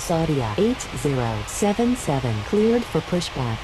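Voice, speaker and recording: A woman's voice: deep voice, big pitch swings, unhurried, background noise. A woman playing a procedural controller and pilot, speaking formulaic aviation radio calls. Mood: businesslike. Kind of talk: scripted aviation radio phraseology.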